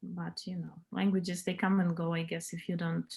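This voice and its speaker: A woman in her thirties with a Russian accent speaks in a lowish voice. She talks casually as a podcast guest, stopping often with fillers while she hunts for the right word. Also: flat delivery, normal speed, creaky, darkish tone. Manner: hesitant, searching for words with many fillers. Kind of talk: casual interview chat.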